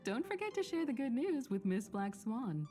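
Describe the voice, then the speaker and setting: A woman's voice: a little high, big pitch swings, moderate pace, mellow, faint music behind. A woman voicing a poised, kindly, mature expedition leader in a game, composed and reassuring with an elegant delivery. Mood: pleased. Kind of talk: anime-style game voice acting.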